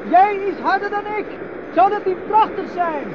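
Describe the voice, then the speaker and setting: A man's voice: very high-pitched, normal speed. An actor with a Netherlands Dutch accent playing a desperate man calling out, scolding and pleading, in a radio drama. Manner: shouting, pleading. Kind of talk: 1970s radio drama acting. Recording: dull old recording, noisy outdoor sea effects.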